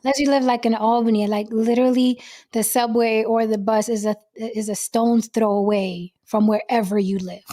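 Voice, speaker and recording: A woman hosting a casual live-stream chat, slightly hoarse from losing her voice, blunt and outspoken. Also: on the high side, steady tone, normal speed, warm tone. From New York. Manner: blunt, outspoken, swears freely. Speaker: host. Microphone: desk mic on a live stream.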